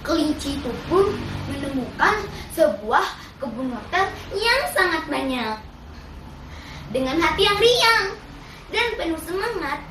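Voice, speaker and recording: A child of about ten reciting a children's fable as a storyteller, with deliberate, dramatic phrasing. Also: high voice. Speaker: storytelling contest participant. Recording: roomy, distant mic, clearly noisy ambience.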